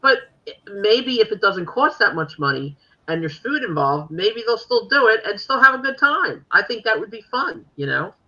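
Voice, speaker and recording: A woman's voice: medium pitch, very animated, normal speed, pushed and bright, highs cut off. A woman with a Philadelphia accent hosting a podcast, chatting casually and rambling with many fillers. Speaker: podcast host. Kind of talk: casual chat.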